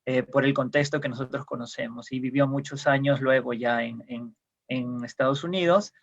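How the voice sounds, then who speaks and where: A man's voice: mid-range voice, fairly even intonation, moderate pace, vocal fry, dull audio. A man from Peru, a guest explaining ideas in casual conversation, hesitant with many fillers and restarts, heard over a video call.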